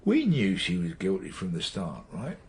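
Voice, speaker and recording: Low-voiced, weary veteran detective. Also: male voice, huge pitch swings, moderate pace, darkish tone, slight background noise. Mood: dry, defensive. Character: weary, brooding veteran male police detective, needling and troubled. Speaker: actor. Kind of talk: naturalistic radio drama acting.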